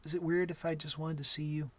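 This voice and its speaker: A young man playing an awkward, gentle vampire in an intimate ASMR roleplay, talking softly and hesitantly with a wry streak. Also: fairly high pitch, level tone, fast, lightly acted. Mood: shy, hopeful. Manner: hesitant, soft-spoken, wry.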